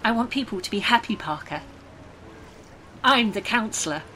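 An actress playing an upbeat, patronising counsellor in an audio drama. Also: female voice, on the high side, lively intonation, moderate pace, bright, forceful tone, slight background noise. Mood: soothing, patronising.

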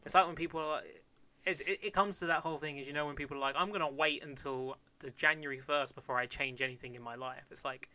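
Bright-voiced young man from Kent. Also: on the high side, fairly even intonation, fast, no echo. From Kent, England. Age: 20s. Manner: joking, rambling, laughs often. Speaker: podcast co-host. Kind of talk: casual chat.

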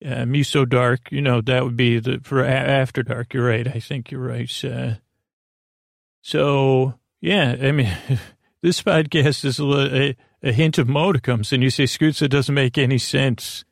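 A man with a creaky voice hosting a bedtime podcast, talking in a soothing, meandering, halting monologue with goofy asides. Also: medium pitch, fairly even intonation, dry recording, clean studio sound. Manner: soothing, lulling delivery. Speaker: podcast host. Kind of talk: rambling bedtime monologue to help listeners sleep.

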